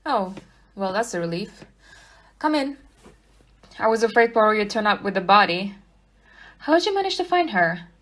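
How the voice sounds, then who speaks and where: A woman playing a frank, brisk police inspector in an audio play, speaking in a casual, plain way. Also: mid-range voice, very animated, a bit bright. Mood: relieved, apologetic.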